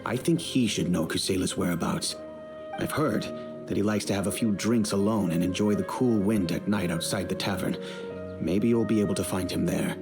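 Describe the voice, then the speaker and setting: Remorseful middle-aged merchant, low pitch, formal, earnest, video game dialogue, music underneath. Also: male voice, moderate pace. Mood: resigned, helpful.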